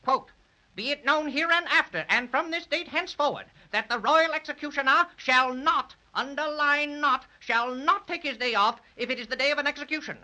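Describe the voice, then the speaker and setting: A man's voice: very high-pitched, moderate pace, bright, forceful tone, exaggerated voice. An actor playing a fussy, flustered, pompous king who blusters and repeats himself in a 1930s children's radio drama. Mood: imperious, huffy. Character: fussy, flustered, pompous male king of blotting-paper people.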